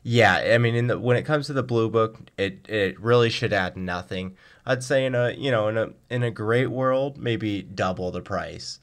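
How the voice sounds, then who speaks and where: A man with a deep, low-pitched voice speaks as a guest on a radio interview. He answers questions in a conversational style, explaining his subject as he goes. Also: moderate pace, few pauses. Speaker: guest, car blog writer.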